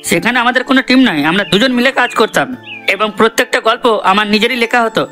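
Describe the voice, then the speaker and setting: A man's voice: very high-pitched, rapid-fire, sharp tone. A man voicing an assertive comedy cartoon character, emphatic and matter-of-fact. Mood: earnest. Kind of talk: cartoon character addressing viewers. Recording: voice over background music.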